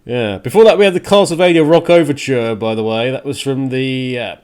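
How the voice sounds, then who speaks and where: A man hosting a music radio show and podcast solo, talking casually in a halting, rambling, conversational way with a bit of a rant to it. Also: mid-range voice, darkish tone, clean tone. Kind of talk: casual solo podcast talk, partly ranting.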